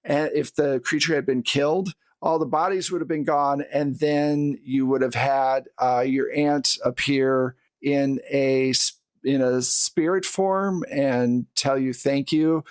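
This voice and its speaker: A man's voice: medium pitch, fairly even intonation, slow pace, dry recording. A man chatting casually as the game master and host of a podcast.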